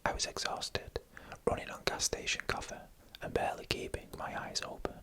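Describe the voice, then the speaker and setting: A narrator whispering scary stories in ASMR style, slowly and deliberately, with pauses between short phrases. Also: bright, forceful tone.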